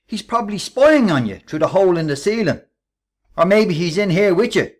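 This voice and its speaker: A man's voice: sits high, sing-song, fairly quick. An actor playing a scheming, menacing Irish workman and ringleader in a radio play, with a taunting, sarcastic, bossy manner. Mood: mocking, commanding.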